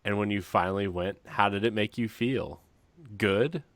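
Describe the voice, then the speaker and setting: A man's voice: fairly low pitch, moderate pace. A man co-hosting a podcast, doing comic readings for friends and often breaking into laughter as he reads. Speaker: podcast co-host. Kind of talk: comic dramatic reading of book descriptions among friends.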